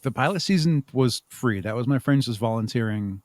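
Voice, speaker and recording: A man talking casually and thoughtfully as an interview guest, with halting phrasing. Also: mid-range voice, lively intonation, darkish tone, dry recording. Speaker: podcast guest, audio drama creator.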